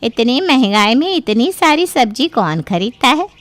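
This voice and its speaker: A woman playing an inquisitive, familiar middle-aged neighbour and friend in an animated story. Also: on the high side, unhurried, no room sound. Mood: curious, teasing. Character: curious, sympathetic gossipy neighbour woman friend. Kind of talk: animated story character dialogue.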